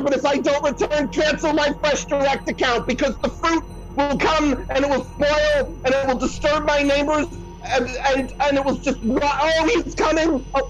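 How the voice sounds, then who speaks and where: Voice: high-pitched, dull audio. A performer playing a flustered, dramatic psychic who rambles in repeated exclamations in a comic audio play. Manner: breathless, rambling, repetitive exclamations. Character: flustered, dramatic celebrity psychic channeling spirits.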